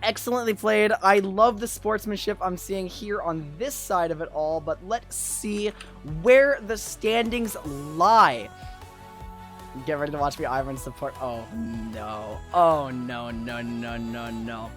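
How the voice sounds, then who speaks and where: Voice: mid-range voice, a little slow, a bit bright, faint music behind. A tournament host with a voice wearing out from long talking, chatting casually and appreciatively to viewers on an esports livestream. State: losing voice after two days of talking. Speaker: tournament host and streamer caster.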